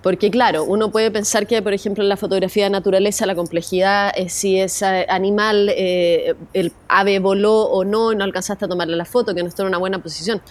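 A woman's voice: mid-range voice, steady tone, a little fast, few pauses. A woman interviewing a guest and asking long, thoughtful questions.

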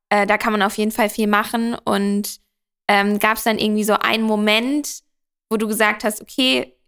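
A woman's voice: fairly high pitch, gravelly, a bit bright, smooth. A woman hosting a conversational podcast interview, introducing and questioning her guest.

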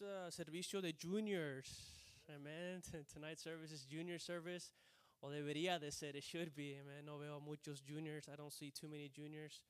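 A man's voice: high voice, fairly bright tone, smooth, no room sound. A man preaching a sermon at a church service, translating himself between Spanish and English phrase by phrase and often saying amen. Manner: switches between Spanish and English, frequent amens.

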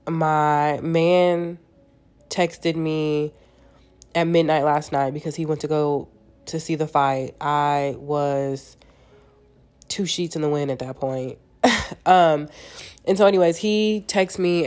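A young woman with a low voice chats through her solo podcast, stuffed up and nasal from a cold. She talks candidly and casually, cursing freely as she goes. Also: darkish tone. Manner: candid, chatty, swears freely. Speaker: podcast host.

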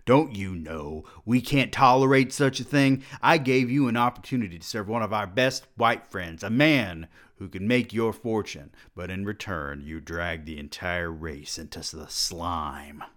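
A man acts out a domineering older college president who barks questions and scolds with contempt. The voice is deep and booming, with a slow, heavy delivery. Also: steady tone, sharp tone, exaggerated voice. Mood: furious.

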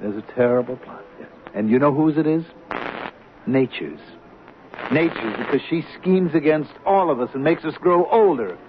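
A man's voice: a little high, animated, moderate pace, close to an everyday voice. An actor playing a frank, exasperated romantic leading man in an old radio drama, emphatic and pleading. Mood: coaxing. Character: frank, exasperated younger actor, loving the fading star. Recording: dull, hissy old radio recording.

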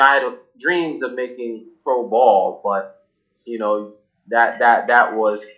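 A man's voice: on the low side, sharp tone, highs cut off. A young man from Cameroon, a guest in a casual interview, talking loosely with many fillers and restarts. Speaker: guest, app founder.

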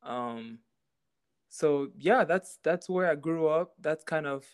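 A young man from Cameroon, a podcast guest, talking in an easygoing, halting way with repeated words. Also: on the high side, clear, resonant tone. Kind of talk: casual interview chat.

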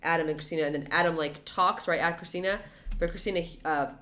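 A woman's voice: fairly low pitch, quick pace. A young woman co-hosting a podcast, talking animatedly and rambling as she chats about a TV show. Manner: animated, rambling, jumps into exaggerated quoted voices. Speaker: podcast co-host. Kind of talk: casual chat about a TV show.

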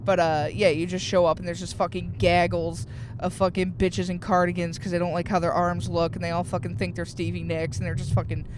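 Male comic, casual profane ranter, car-recorded podcast with light road hum. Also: brisk. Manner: profane, ranting, animated riffs. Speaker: comedian guest. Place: inside a moving car. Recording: faint road noise in a car. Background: car rumble.

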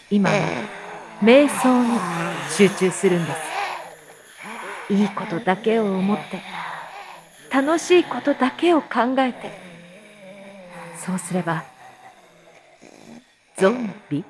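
Meditation teacher played by a woman for audio drama: extremely unhurried, calming, mid-pitched, noisy recording. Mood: strained reassurance. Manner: hushed, measured counting and gentle instructions. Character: soothing female teacher leading meditation amid zombie threat. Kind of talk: slow guided meditation in a voice drama.

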